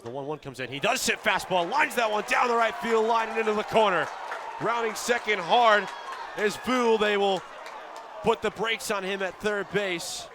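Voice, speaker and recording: Male baseball play-by-play announcer over crowd noise. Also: sits high. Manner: fluent descriptive calling, building to excited shouts on big plays. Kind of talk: live radio sports play-by-play commentary. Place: outdoor baseball stadium broadcast position. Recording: live ballpark with crowd noise behind.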